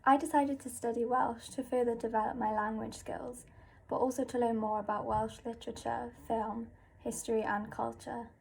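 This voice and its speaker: A woman's voice: on the high side, level tone, moderate pace, creaky, husky. A young woman student giving a composed testimonial.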